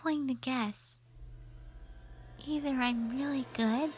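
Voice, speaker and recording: A woman voicing an excitable, feisty young witch girl in an audio roleplay, with a girlish, eager manner. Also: takes it slowly, slight background noise. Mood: puzzled, cocky. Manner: girlish, high, eager and indignant.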